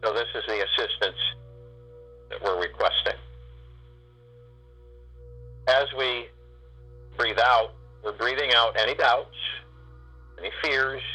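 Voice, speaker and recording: A man guiding a spiritual meditation, speaking in slow, drawn-out phrases with long pauses. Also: on the low side, pushed and bright, breathy edge, poor audio. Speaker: meditation guide.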